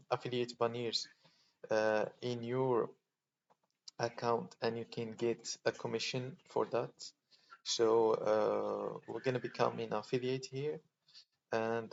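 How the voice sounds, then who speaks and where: A man from Poland hosting a casual screen-recorded tutorial, thinking aloud in a halting way as he clicks through steps. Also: mid-range voice, gravelly. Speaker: tutorial host. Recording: dull lo-fi mic with faint noise.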